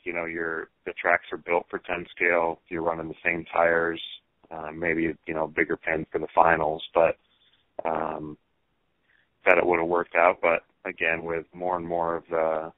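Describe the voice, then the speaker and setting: Low-voiced man on a tinny phone line. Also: dry recording. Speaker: RC car racer being interviewed. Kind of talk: casual phone interview about hobby racing. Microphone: telephone.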